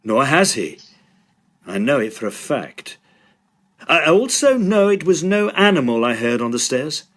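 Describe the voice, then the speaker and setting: Audio drama: male actor as serious student, lightish pitch, lively intonation, terse, doubting replies. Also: a little slow. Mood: doubtful, firm. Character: serious, sceptical young Victorian medical student. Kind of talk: Victorian audio drama dialogue.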